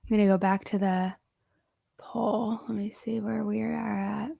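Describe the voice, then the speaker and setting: A woman with a hoarse voice and plenty of gravelly fry chats informally to her livestream. The sound is dry, with hardly any room around her, and she comes across as a casual commentator. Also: mid-range voice, little pitch movement, unhurried, dry recording, dull audio. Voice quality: vocal fry. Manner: chatty, informal. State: hoarse, feeling sick. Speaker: true crime livestream host.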